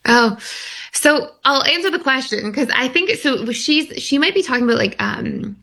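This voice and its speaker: A woman's voice: sits high, animated, moderate pace, gravelly, pushed and bright. A woman speaking as an expert guest on a radio call-in show, explaining things conversationally.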